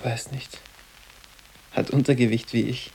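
A blasé teenage boarding-school boy in a literary radio play. He is terse and drily sarcastic, with a mid-pitched voice over a noisy background. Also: level tone, unhurried, creaky, darkish tone. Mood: blasé sarcasm. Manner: dry, terse, offhand. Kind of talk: literary German radio drama dialogue.

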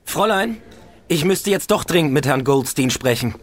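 An actor playing a brusque, impatient police inspector in old Berlin, curt and commanding, in a radio drama. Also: male voice, on the high side, expressive, normal speed, background noise, lightly acted. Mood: curt, determined. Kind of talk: modern German radio drama acting.

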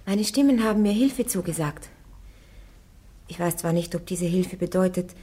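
An actress playing a devout young woman warrior on trial, answering her judges firmly and calmly in a radio drama. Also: fairly low pitch, normal speed, breathy edge. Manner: firm, calm, defiant answers.

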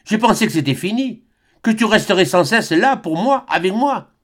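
Young woman, pleading and wounded; male narrator pitches up for her. High and animated. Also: normal speed, lightly acted. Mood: reproachful. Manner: high, imploring, emotional. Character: young woman, pleading, then bitter and scornful.

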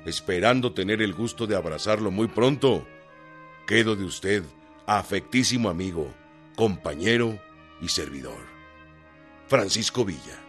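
Deep-voiced revolutionary general. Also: male voice, animated, a little slow, pauses often, fairly bright tone, faint music behind. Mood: solemn, resolute. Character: resolute male revolutionary general dictating a cordial war letter. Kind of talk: radio drama, reading a letter aloud.

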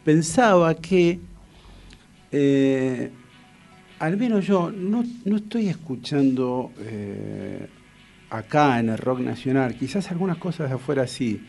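An Argentine man hosts a casual radio interview with a creaky, mid-pitched voice. He talks in a rambling, conversational way, feeling for the next word. Music plays faintly in the background. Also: expressive, slow pace, a little mellow. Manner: conversational, rambling, searching for words. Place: radio studio.

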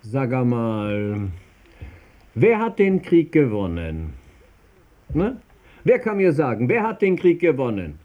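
An actor playing an insistent, provocative questioner who declaims and needles in a radio play. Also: male voice, mid-range voice, huge pitch swings, takes it slowly, long pauses, muffled recording. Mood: needling. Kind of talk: German radio play acting.

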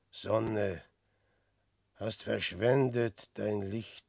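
An actor playing a despairing, boastful old man facing death, declaiming verse in a brooding, theatrical radio drama style with a gravelly, husky voice. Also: lowish voice, no echo, clean studio sound, exaggerated voice. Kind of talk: 1960s German radio drama verse acting.

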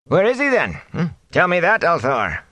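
A man's voice: fairly high pitch, wildly swooping pitch, normal speed, sharp tone, no echo, voice pushed up, heavily acted. A man voicing a distrustful, grumbling old village thatcher in an audiobook, with a querulous manner. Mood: challenging.

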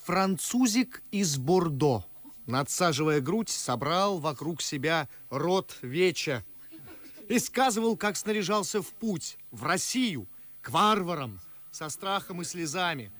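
An actor playing an indignant, eloquent young gentleman, declaiming sarcastically in verse in a theatrical radio play. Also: a little high, lively intonation, measured pace. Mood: scornful, ironic.

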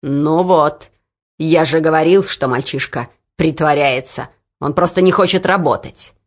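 A woman voicing a cruel male overseer with a whip, growling out slow threats. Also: deep voice, voice pushed down. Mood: sneering. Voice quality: growling, gruff. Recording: dull lo-fi recording.

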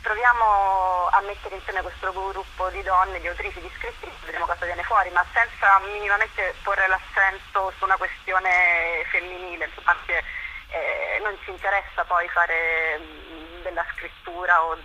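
A woman giving a casual interview, often halting as she searches for words. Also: fairly low pitch, fairly even intonation, pushed and bright, a little hiss or hum, rough recording. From Rome, Italy. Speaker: interview guest, writer and performer.

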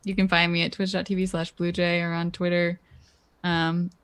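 A woman with a smooth, fairly low voice speaks with little rise and fall. Her manner is low-key and level, and the recording is dry and close. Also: level tone, normal speed, no room sound. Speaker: stream player. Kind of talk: casual chat.

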